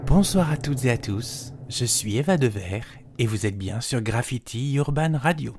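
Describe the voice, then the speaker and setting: A man hosting a monthly arts radio show, introducing it and interviewing a guest in a measured, announcer-like way. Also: mid-range voice, animated, darkish tone. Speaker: radio host.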